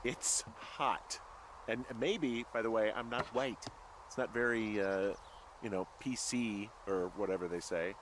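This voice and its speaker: Low-voiced deadpan American man acting, noisy background. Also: a little slow, lightly acted. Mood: wry, bashful. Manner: deadpan, laconic, casual. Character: self-deprecating, dry-witted middle-aged male fire lookout. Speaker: fire lookout. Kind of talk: naturalistic video game dialogue.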